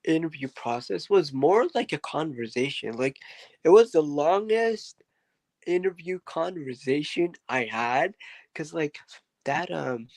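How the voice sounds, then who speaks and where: A man speaks at an unhurried pace, his voice carrying a rough, gravelly edge. He tells his story as a podcast guest, rambling through fillers and asides. The sound is dry, with no room echo. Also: a little high, animated, darkish tone. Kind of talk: casual interview storytelling.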